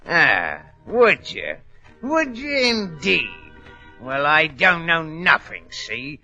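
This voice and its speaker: An actor playing a rough, boozy ex-convict valet, slangy and swaggering, in a 1940s radio drama. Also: male voice, on the high side, huge pitch swings, slow, sharp tone, soft background music, full character voice. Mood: hostile, defiant. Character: rough, boozy working-class ex-convict valet, blustering villain. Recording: dull old radio recording.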